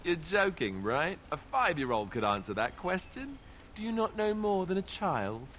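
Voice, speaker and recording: A man's voice: sits high, sing-song, normal speed, a bit bright, a little hiss or hum. An actor playing a haughty man in a video game, sarcastic and incredulous as he taunts. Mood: mocking. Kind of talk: video game dialogue acting.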